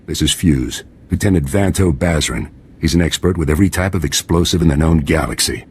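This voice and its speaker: A commanding officer of an elite game squad, very low in pitch. He speaks with assured formality and a hearty, welcoming manner. He sounds used to being in charge. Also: a little fast, breathy edge, full character voice. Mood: welcoming, proud. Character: confident, welcoming male special forces commander. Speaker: video game character. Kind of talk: video game voice acting.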